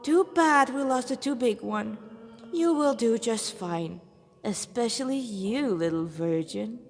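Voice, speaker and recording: A woman's voice: a little high, expressive, unhurried, long reverb tail. A woman voicing a flamboyant, mocking male demon lieutenant in a story, taunting theatrically and condescendingly. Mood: gloating, mocking.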